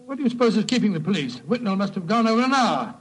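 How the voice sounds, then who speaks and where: An actor playing a fussy, blustery older British doctor and loyal sidekick in a 1940s style radio drama. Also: male voice, sits high, very animated, fast talker, a bit bright, breathy edge, exaggerated voice, low-quality recording. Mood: anxious, indignant.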